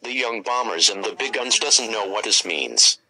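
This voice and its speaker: A man's voice: fairly low pitch, lively intonation, normal speed, a crowd in the background, rough recording. A man delivering scripted, ominous emergency broadcast announcements in the role of an alert announcer.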